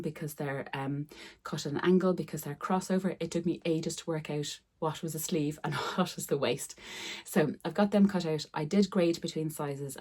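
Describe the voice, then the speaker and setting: A woman's voice: low voice, darkish tone, breathy edge. A middle-aged woman who is losing her voice, chatting casually to camera at home, often repeating words for emphasis. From Ireland. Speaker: sewing vlogger. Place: room upstairs at home.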